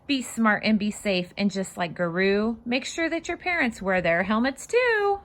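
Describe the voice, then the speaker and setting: A woman reading a children's storybook aloud in an expressive, sing-song way, with casual asides to her young listeners. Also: mid-range voice, a little slow. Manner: animated, sing-song rhyming delivery. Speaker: children's read-aloud host and fitness instructor.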